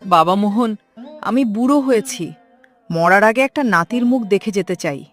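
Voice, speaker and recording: A woman's voice: mid-range voice, lively intonation, normal speed, soft background music, lightly acted. A woman voicing a stern, insistent old mother-in-law in a melodramatic cartoon story. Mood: coaxing. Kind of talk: Bengali cartoon story dialogue.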